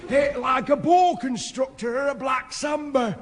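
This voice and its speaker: An excitable Northern English working man who relishes a grim warning. His voice is extremely high, in 1960s radio comedy style, with a long hall reverb. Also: measured pace, faint music behind. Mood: ominous, emphatic. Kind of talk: 1960s British radio sitcom acting.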